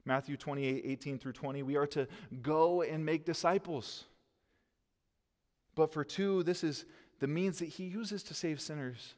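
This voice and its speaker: A man's voice: fairly high pitch, measured pace, a little mellow. Preaching man in a reverberant hall. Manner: earnest, gesturing preacher who quotes scripture. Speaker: pastor. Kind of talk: sermon. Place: church stage behind a lectern, indoors. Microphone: headset mic.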